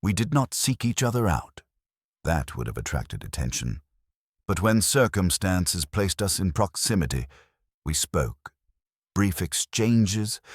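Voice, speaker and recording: A man with a husky, gravelly voice narrating a dramatic scripted story in a solemn, measured way, in short clipped phrases. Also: sits low, no room sound, studio quality. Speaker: narrator of lore stories.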